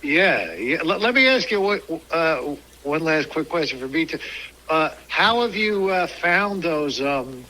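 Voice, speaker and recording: An older American man calling in for a casual phone chat, halting as he searches for words. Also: on the high side, very animated, sharp tone, a little hiss or hum, poor audio. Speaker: caller, customer.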